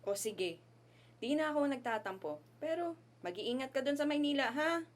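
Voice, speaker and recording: Woman playing a fretful mother, higher voice. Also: animated, normal speed. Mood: fretful, loving. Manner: fretful, nagging affection. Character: sulky, worrying, loving provincial mother. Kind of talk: amateur radio drama acting.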